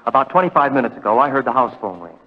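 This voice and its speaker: Urgent, earnest man played by an actor, 1940s radio: fast-paced, mid-pitched, in reverberant old sound. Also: animated, close to an everyday voice. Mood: urgent, hushed. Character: urgent, earnest nephew caught in a mystery. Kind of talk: 1940s radio drama acting. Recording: muffled, reverberant 1940 radio recording.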